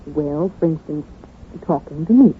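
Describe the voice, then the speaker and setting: An actress playing a detective's pert, teasing secretary in a 1940s radio drama. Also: female voice, low-pitched, moderate pace, lightly acted. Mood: pert, indignant. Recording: tinny, hissy old radio broadcast.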